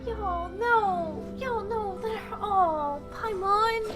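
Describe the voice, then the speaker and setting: Woman, high voice, casual gameplay commentary, streamer, game music behind. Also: extremely slow.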